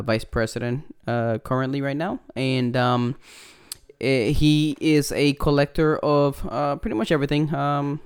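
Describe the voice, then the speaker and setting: A man's voice: medium pitch, a little mellow, clean tone. A young man with a Puerto Rican accent hosting a casual podcast, rambling and profane, with many fillers. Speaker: podcast host.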